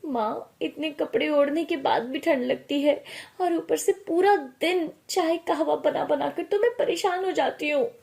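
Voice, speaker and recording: A woman's voice: high voice, unhurried, vocal fry, darkish tone, voice pushed up. A woman voicing an eager, pampered young bride in a story, pleading and complaining. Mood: weary complaint. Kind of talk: acted storytelling dialogue.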